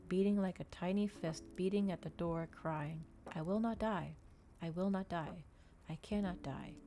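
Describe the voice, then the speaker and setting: A woman reading a story aloud in ASMR style, with a measured, dramatic delivery in short phrases. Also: lowish voice, a little mellow. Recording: clear background ambient noise.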